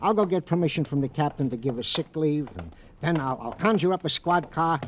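Comic-relief American cop played by a man for classic radio: loyal, fretting, stammering, higher register, very animated. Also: brisk, muffled recording, a little hiss or hum, close to an everyday voice. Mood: fussing. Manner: stammering, folksy, mangles phrases. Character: excitable, fussing, loyal male police sergeant sidekick. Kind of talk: old-time radio drama comic relief.

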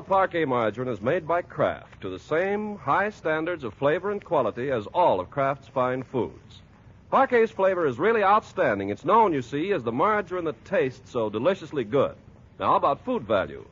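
Male 1940s American radio announcer, polished pitchman, fairly high voice, muffled vintage recording. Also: a bit bright, slightly rough. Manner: polished, emphatic salesmanlike delivery.

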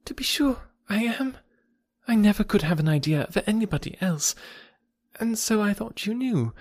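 An eager, naive young woman, acted out by a male narrator in a classic novel reading. The voice is high and swoops excitedly, gushing with breathless indignation. The sound is close and dry. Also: normal speed, dry recording. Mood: agitated, earnest. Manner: gushing and emphatic. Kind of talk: classic novel audiobook character dialogue.

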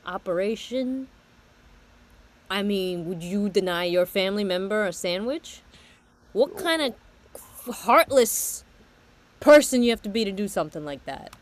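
A woman's voice: mid-range voice, lively intonation, measured pace, long pauses, lightly acted. A woman playing a brash, indignant man with a thick Italian-American accent in an improvised comedy sketch. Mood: aggrieved.

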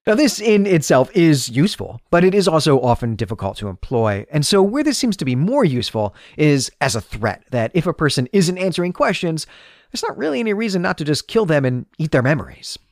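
A man's voice: a little high, sing-song, brisk, dry recording, clean studio sound. A man hosting a podcast, delivering an analytical, explanatory monologue in the style of literary commentary. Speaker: podcast host.

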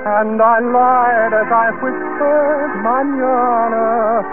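A man singing a sentimental slow song in 1940s radio style. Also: very high-pitched, flat delivery. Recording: tinny vintage radio broadcast with music.

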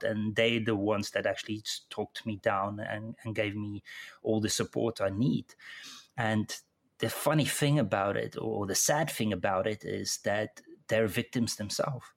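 A middle-aged man with a South African accent, telling his own story in an interview, halting and often repeating words as he restarts. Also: on the low side, gravelly, fairly bright tone. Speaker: interview guest. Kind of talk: personal account in conversation.

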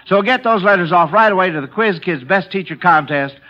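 A man's voice: high voice, lively intonation, fairly quick. An American man hosting a 1940s radio quiz show, speaking to children in a folksy way full of old-time exclamations, on a lo-fi vintage broadcast.

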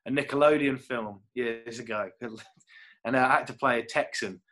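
A man's voice: medium pitch, unhurried, a bit bright. A young British man, an actor, chatting casually and rambling as he acts out little quotes with laughs. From United Kingdom. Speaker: actor guest. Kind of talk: casual chat.

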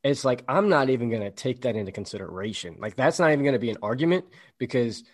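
A man's voice: mid-range voice, expressive, fast. A man in his late twenties talks with an ordinary mid-range voice. He chats casually and earnestly, often repeating his words and swearing here and there. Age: 20s. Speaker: podcast co-host. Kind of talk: casual chat.

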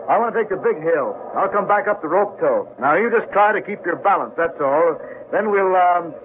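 An American actor playing a smooth-talking, scheming guardian who feigns concern in an old radio drama. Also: male voice, high voice, animated, normal speed. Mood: reassuring. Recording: tinny, hissy old radio recording.

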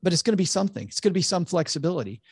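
Gravelly man explaining money matters. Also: high voice, big pitch swings, rapid-fire, dry recording, clean studio sound. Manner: earnest, explains with frequent stammered restarts. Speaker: financial advisor guest. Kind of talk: conversational podcast interview.

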